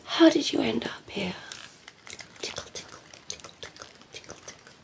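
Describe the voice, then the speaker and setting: A woman playing a cheeky, flirtatious female vampire in a soft, teasing ASMR roleplay, often slipping into whispers. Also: a little high, lively intonation, normal speed, gravelly. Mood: curious teasing.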